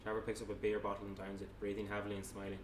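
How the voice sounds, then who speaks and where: A man's voice: lowish voice, completely flat delivery, racing. A man reading screenplay directions aloud as narrator, plain and matter-of-fact, with the odd stumble. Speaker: narrator reading stage directions at a table read. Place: indoor room, table read. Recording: echoey room, distant mic, noisy background.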